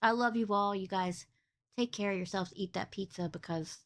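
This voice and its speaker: A woman's voice: moderate pace, a bit bright. Bubbly rambling woman podcast host. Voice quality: big boomy voice. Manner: bubbly, swears freely, rambling. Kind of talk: casual livestream podcast chat.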